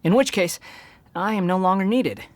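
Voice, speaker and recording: A woman's voice: medium pitch, moderate pace, darkish tone, lightly acted. Woman as polite scholarly traveler, video game, formal, composed, courteous, slight background noise. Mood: courteous, detached.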